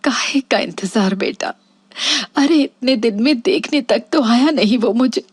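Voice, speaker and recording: A woman playing a hurt, plain-spoken elderly mother in a Hindi cartoon story, with a husky, breathy voice. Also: fairly high pitch, unhurried, no echo, studio quality. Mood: hurt, bitter.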